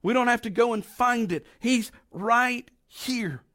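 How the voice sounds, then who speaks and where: A middle-aged man with a raspy voice from allergies, preaching a folksy, emphatic sermon with slow drawn-out stresses. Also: very high-pitched, bright, forceful tone. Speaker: pastor.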